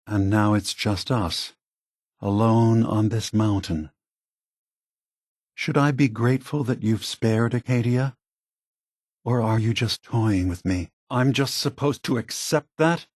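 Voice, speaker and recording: A man playing a weary, grave synth leader in a video game, speaking deliberately and solemnly with a husky voice. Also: lowish voice, darkish tone, no echo, studio quality. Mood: grieving accusation. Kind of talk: scripted video game voice acting.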